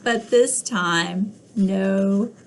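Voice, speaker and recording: A woman voicing a small, calm, polite duckling in a storybook read-aloud, speaking softly and quietly. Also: mid-range voice, very slow, slight background noise. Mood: polite, gentle.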